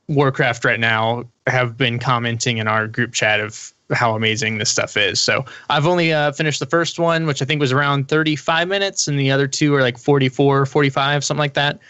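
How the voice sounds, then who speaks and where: A man's voice: medium pitch, fairly even intonation, brisk, bright, forceful tone, no room sound. A man giving his casual, enthusiastic opinion as a podcast panelist.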